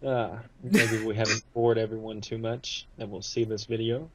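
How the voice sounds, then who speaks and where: A man's voice: fairly low pitch, little pitch movement, moderate pace, very noisy. A man chatting casually as a podcast guest over a lo-fi voice call. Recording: dull, lo-fi voice call.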